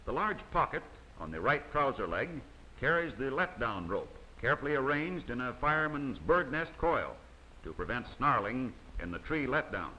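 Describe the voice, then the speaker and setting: A man reading scripted narration for an instructional training film in a formal, measured, instructive manner. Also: mid-range voice, very animated, dull audio. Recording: faint hiss of old 16mm optical soundtrack.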